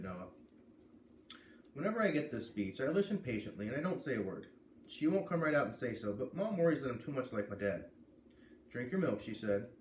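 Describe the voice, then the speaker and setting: A man with a medium-pitched voice reads a story aloud as a casual host. He sounds a little distant from the microphone, in a roomy space with faint noise. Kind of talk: storybook narration with casual asides. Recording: echoey room, distant mic, faint noise.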